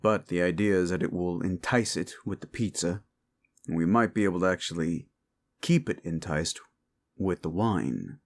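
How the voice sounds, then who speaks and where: A man playing a gruff, world-weary demon agent who hunts monsters, with a terse, laid-back, wry drawl, in an action-horror audio drama. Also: low voice, moderate pace. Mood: casual, wry.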